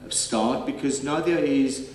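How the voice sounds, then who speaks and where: A man's voice: medium pitch, unhurried, a little mellow. A man moderating a panel, introducing speakers with many fillers and restarts. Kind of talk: hesitant live introduction. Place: large echoey hall. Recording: distant mic, echoey hall, faint noise.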